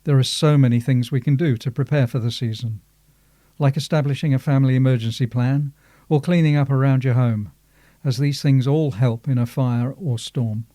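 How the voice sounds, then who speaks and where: A man with an Australian accent reads a formal written statement. His voice is mid-pitched and warmly dark in tone, recorded very dry. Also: fairly even intonation, normal speed, no echo. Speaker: reader of quoted statements. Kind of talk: scripted reading of a formal statement.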